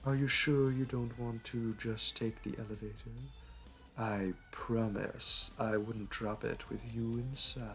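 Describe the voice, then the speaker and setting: A man playing a smug, flirty supervillain in an audio roleplay, talking in a teasing, theatrical, unhurried way. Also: medium pitch, steady tone, fairly bright tone. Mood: playful, teasing. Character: smug, flirty male supervillain hosting a hero in his lair. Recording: music behind. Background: background music.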